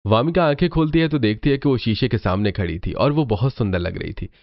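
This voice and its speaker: A man's voice: medium pitch, lively intonation, a little fast, no echo. A man narrating a scripted cartoon family story as the storyteller, on a slightly dull recording.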